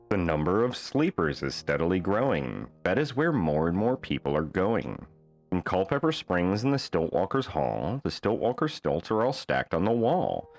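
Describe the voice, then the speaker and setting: A man reading a rhyming children's bedtime book aloud as an expressive storyteller. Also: low-pitched.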